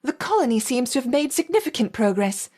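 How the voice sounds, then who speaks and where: A woman's voice: sits high, big pitch swings, brisk. A woman playing a commanding lead scientist in a video game, composed and authoritative. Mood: cool, in command. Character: commanding female lead scientist overseeing an experiment. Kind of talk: video game character acting.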